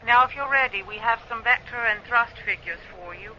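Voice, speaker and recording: A woman playing a brisk, confident spaceship crew member in a radio play, assertive and informal over a radio link. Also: on the high side, sharp tone, muffled recording, a little hiss or hum. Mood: businesslike. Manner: matter-of-fact, assertive, informal. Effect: radio transmission filter.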